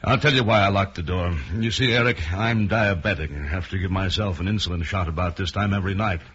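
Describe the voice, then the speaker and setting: An actor playing a wealthy, smooth-talking investor with a husky voice in an old radio drama, casual and placating. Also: male voice, deep voice, quick pace, a bit bright. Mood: reassuring, smooth. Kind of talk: mid-century radio drama acting. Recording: muffled old radio recording.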